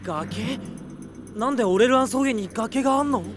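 An actor playing a gentle, earnest young man at war in a Japanese radio drama, speaking softly and politely. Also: extremely high pitch, moderate pace, very noisy. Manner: soft-spoken, polite, earnest.

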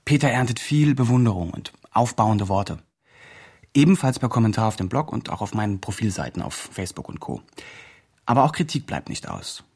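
An actor playing an earnest fundraising organizer, delivering formal progress reports in a radio drama. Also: male voice, fairly low pitch, animated, fairly quick, darkish tone, husky. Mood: reporting. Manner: formal, report-like project jargon. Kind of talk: radio drama, scripted narration.

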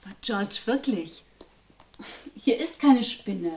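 A woman's voice: mid-range voice, expressive, slow, slight background noise. An actress playing a patient wife who placates and reassures her husband in a radio drama. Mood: patient, placating. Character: patient, gently soothing wife of a paranoid man. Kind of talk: German radio drama acting.

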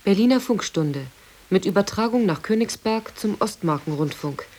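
A woman with a medium-pitched voice reads quoted texts for a radio documentary. Her delivery is formal and scripted. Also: animated, normal speed, a little mellow. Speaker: quotation reader in a radio documentary. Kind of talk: formal reading of archive texts.

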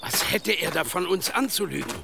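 A man's voice: sits high, expressive, moderate pace, creaky, noisy background, heavily acted. An actor in a German radio drama playing a village headman, courteous and conciliatory. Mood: placating. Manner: courteous, placating.

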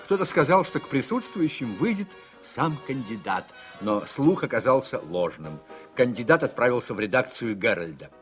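A man's voice: medium pitch, very animated, normal speed, natural voice. Actor doing male storyteller for a radio play, really varied intonation, literary, bit of music. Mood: narrating. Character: male narrator of a political drama. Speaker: narrator. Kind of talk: dramatized radio play narration.

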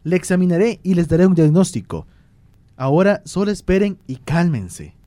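A man voicing a reassuring, authoritative village doctor with a measured, clinical manner in a radio drama. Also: high voice, big pitch swings, dark tone, no echo, pitched above the performer's own voice. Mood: businesslike. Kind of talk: amateur radio drama acting.

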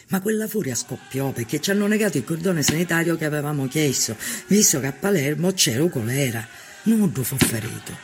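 An actor plays an impassioned, plain-spoken peasant militant in a historical documentary drama. His voice is fairly high for a man and carries a Sicilian accent. Some noise sits behind it. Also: close to an everyday voice. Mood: earnest.

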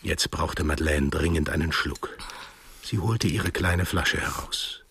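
A man narrating a scripted radio drama in a measured, literary storytelling manner, with a deep, husky voice. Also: a bit bright, dry recording.

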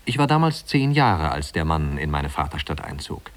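A man's voice: very deep voice, sing-song, normal speed, exaggerated voice. A man narrating a 1950s German radio drama as a wry storyteller recalling his small-town youth in a leisurely, conversational way. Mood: wry, reminiscing.

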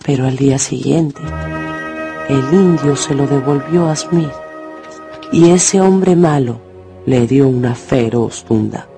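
A man narrating a dramatised radio story with theatrical, deliberate phrasing. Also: medium pitch, creaky. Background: music.

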